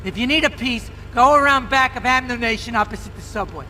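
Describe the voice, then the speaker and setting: An actor playing a sleazy gangland boss, crude and streetwise, handing out jobs in a video game. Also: male voice, extremely high pitch, fairly quick, background noise. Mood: scheming, bossy. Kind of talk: video game cutscene acting.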